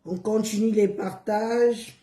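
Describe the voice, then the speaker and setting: A hoarse woman chatting on a live stream, repeating herself and coaxing viewers along with drawn-out words. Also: mid-range voice, steady tone, warm tone, echoey room. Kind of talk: casual live stream chat.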